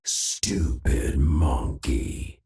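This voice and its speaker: Brief: a grim game wraith, extremely deep gravelly growl, very slow and menacing. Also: male voice, warm tone, exaggerated voice. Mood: taunting. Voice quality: deep gravelly rasp, half-whispered. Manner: slow, sneering, mocking. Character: vengeful wraith-like male mercenary, grim and menacing. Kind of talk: video game voice lines.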